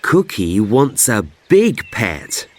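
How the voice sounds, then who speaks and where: A woman narrating a children's read-aloud story slowly, in simple phrases. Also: very deep voice, very animated, heavy background noise.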